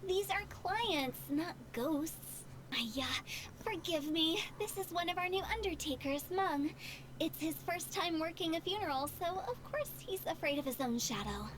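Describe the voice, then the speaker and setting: A playful young funeral director from a video game speaks in a high voice. She is quirky and breezy, with a theatrical lilt that moves freely in pitch. Clear background noise sits behind her. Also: lively intonation, normal speed. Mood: breezy, apologetic.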